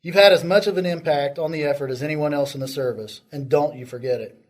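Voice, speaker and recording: An earnest army commander played by a man speaks to his troops in an amateur radio drama. His voice sits fairly high for a man. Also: fast talker, natural voice. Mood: rousing, earnest.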